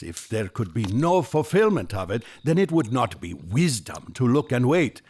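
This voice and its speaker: An earnest, devout ancient Magus in an audio drama. His solemn oratory swings widely in pitch with impassioned conviction, and the voice has a slightly husky edge. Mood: earnest, insistent. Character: Artaban, earnest devout male Persian Magus, fervent seeker.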